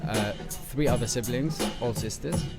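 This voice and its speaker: A man's voice: mid-range voice, normal speed. Hesitant young male producer over music. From born in London, grew up in Syria. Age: 20s. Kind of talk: casual radio interview. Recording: music playing under the talk.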